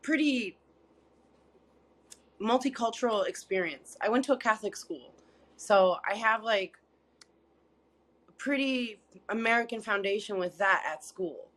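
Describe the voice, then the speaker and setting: Young woman, singer-songwriter guest, mid pitch, upbeat, laughs often, emphatic. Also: a little slow, pauses often, a bit bright. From Massachusetts. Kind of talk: casual chat.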